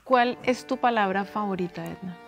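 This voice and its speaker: A woman's voice: medium pitch, moderate pace, gravelly, faint music behind. A woman, hosting a conversational interview. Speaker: interviewer, host. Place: large empty auditorium stage.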